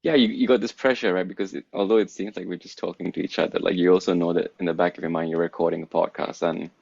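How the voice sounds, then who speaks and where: A man in his thirties from Singapore, co-hosting a casual podcast chat, playful and quick to laugh as he asks questions. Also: sits low, steady tone, gravelly, darkish tone, husky, no room sound, dull audio. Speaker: podcast co-host.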